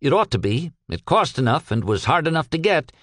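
Storybook errand man, one-armed and dogged; earnest, insistent, animated intonation, dry sound male narrator acting. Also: fairly high pitch, brisk, no room sound, pitched above the performer's own voice. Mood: apologetic, dutiful. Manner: pleading, polite, insistent. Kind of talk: audiobook character dialogue.

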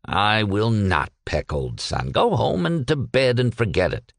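A man's voice: lowish voice, huge pitch swings, unhurried, vocal fry, no echo, exaggerated voice. A man puts on a sea captain from a storybook, blunt and fatherly. He sounds exasperated and dismissive, with strongly varied intonation and a dry, close sound. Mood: scoffing refusal. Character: blunt, scoffing male sea captain, paternal. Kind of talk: audiobook character dialogue.

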